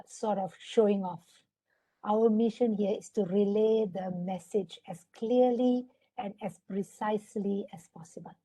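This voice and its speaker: Malaysian woman trainer on a webinar call, warm mellow tone, instructive, keeps checking in with the audience. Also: mid-range voice, rough recording. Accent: Malaysian English. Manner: instructive, often asks for agreement. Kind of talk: online webinar lecture.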